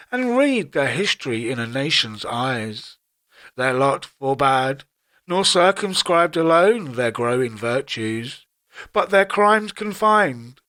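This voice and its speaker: A man reciting classic poetry in a solemn, formal style, with measured and deliberate phrasing. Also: on the high side, a bit bright. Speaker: poetry reader.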